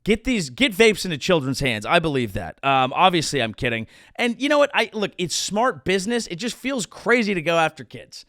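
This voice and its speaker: A man's voice: high-pitched, sing-song, fairly quick, hardly any pauses, pushed and bright, no room sound, clean studio sound. A man in his thirties hosting a comedy show, delivering a sarcastic monologue with mock-outraged rants. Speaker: comedian host.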